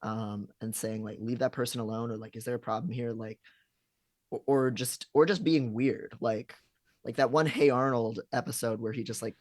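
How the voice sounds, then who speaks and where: A man's voice: medium pitch, fairly even intonation, dry recording. A man talking loosely on a live stream, stopping and restarting his sentences. His voice sits in an ordinary middle register. It is close and dry, with no room around it. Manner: halting, filler-heavy, frequent restarts. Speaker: stream co-host. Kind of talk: casual chat.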